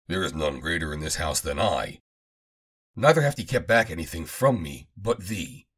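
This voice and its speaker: A man with a low, husky voice reads scripture aloud. His delivery rises and falls widely, and he declaims the archaic text in measured phrases. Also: lively intonation, a bit bright. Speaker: Bible reader. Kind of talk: scripted scripture reading, solemn archaic narration.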